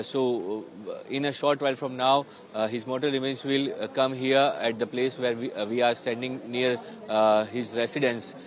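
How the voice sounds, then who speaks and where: Even-toned Indian man reporting live. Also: medium pitch. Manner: hesitant, repetitive, heavy on false starts. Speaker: field reporter. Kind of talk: live on-the-spot news report.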